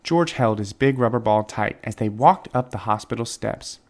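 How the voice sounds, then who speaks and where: A man reading a children's story aloud as narrator, phrasing it deliberately and expressively for young listeners. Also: on the low side, a little mellow. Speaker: storybook reader.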